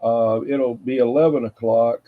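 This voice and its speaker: A man's voice: medium pitch, fairly even intonation, measured pace. Folksy man, muffled phone-like sound. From United States East Coast. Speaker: CB radio show host. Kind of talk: casual rambling hobby chat.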